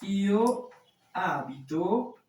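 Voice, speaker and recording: Slow, deliberate language teacher in an echoey room. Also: medium pitch, expressive, a little mellow. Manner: didactic, repeats example phrases slowly and deliberately. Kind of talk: classroom Italian lesson explained in French. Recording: roomy echo, distant mic, faint noise.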